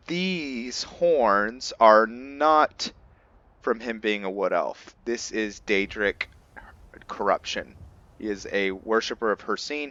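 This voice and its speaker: A man hosting a gaming video, giving casual, halting commentary in an informal, rambling way. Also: lowish voice, pushed and bright, muffled recording. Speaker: gaming channel host. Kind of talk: casual gameplay commentary introducing a roleplay character.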